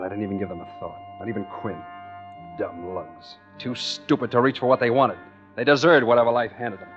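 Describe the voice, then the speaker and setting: A man's voice: fairly low pitch, lightly acted. An actor playing a cocky, scheming American tough guy in a hard-boiled, slangy 1940s radio thriller. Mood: coldly scornful. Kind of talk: 1940s radio thriller acting and first-person narration. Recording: dull 1940s radio recording with music.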